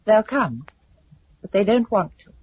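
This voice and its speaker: Resolute, wistful British farm wife, husky and expressive, on a dull 1950s radio recording. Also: female voice, medium pitch, a little slow. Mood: earnest, concerned.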